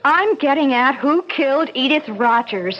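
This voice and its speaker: A woman's voice: sits high, animated, a little slow, highs cut off. An actress playing a cool young woman who speaks in icy, pointed jabs in a 1970s radio drama on a hissy old broadcast. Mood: cold.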